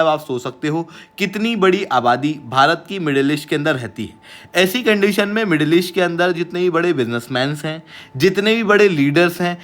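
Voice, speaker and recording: Bright, high-voiced Indian man teaching. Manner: emphatic, mixes English phrases into Hindi. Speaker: online exam-prep teacher and presenter. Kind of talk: explanatory current-affairs lecture to camera.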